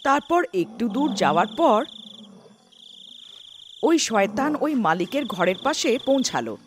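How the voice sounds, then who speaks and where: Lively high-voiced woman storyteller. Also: a little slow, noisy background. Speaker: narrator. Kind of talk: animated folk-tale storytelling, scripted narration.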